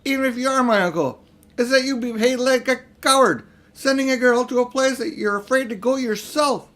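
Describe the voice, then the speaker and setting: A man's voice: extremely high pitch, fairly quick, a bit bright, pitched above the performer's own voice. A man voicing an outspoken schoolboy nephew in a storybook, blunt, accusing and defiant. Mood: angry accusation. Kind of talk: storybook character reading.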